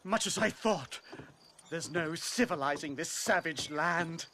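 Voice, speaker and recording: Pompous high-voiced professor. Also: male voice, measured pace, pushed and bright, a little hiss or hum. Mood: pompous, pleased. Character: pompous, excitable, prissy male academic adventurer. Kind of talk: video game cutscene acting.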